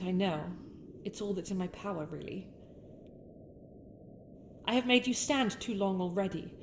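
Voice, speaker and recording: A woman's voice: mid-range voice, a little hiss or hum, lightly acted. A woman with a British accent playing a haughty young winter princess in a scripted ASMR roleplay, speaking in formal, courtly phrases. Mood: contrite, courteous. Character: haughty sharp-tongued young winter princess with a softer, regretful side.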